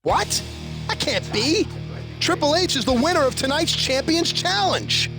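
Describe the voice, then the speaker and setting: A man playing an excitable American pro wrestling commentator in a video game, calling the action. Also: extremely high pitch, unhurried, over music, full character voice. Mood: excited.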